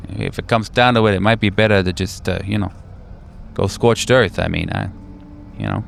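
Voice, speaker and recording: A man playing a weary, hardened veteran handler in a tabletop roleplay, talking in a halting, offhand way that trails off. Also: fairly low pitch, steady tone, creaky, a little hiss or hum. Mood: jaded, hedging. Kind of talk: improvised tabletop roleplay dialogue.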